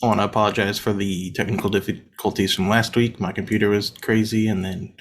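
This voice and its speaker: A man in his thirties co-hosting a casual sports podcast, feeling a bit unwell, talking in a relaxed way with plenty of fillers. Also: on the low side, quick pace. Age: 30s. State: under the weather, voice deeper than usual. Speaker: podcast co-host.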